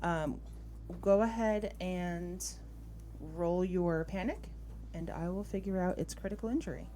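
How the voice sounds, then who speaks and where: Low-voiced woman running a tabletop game. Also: a little slow, dark tone, a little hiss or hum. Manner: thinks aloud while checking rules and rolls. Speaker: game master. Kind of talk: running a tabletop roleplaying game, casual rules talk. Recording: voice over background music. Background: music.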